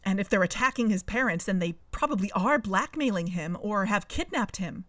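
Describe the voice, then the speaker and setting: A woman's voice: medium pitch, lively intonation, brisk, a bit bright, dry recording, lightly acted. A woman playing an earnest, suspicious amateur investigator hosting a podcast in a scripted audio drama. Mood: earnest, deliberate. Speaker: podcast host.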